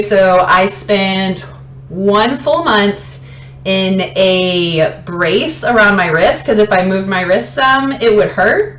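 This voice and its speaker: Chatty young woman in an echoey room. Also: medium pitch. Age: late 20s. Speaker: fitness vlogger. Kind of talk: casual chatty personal storytelling. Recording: roomy echo, light background noise.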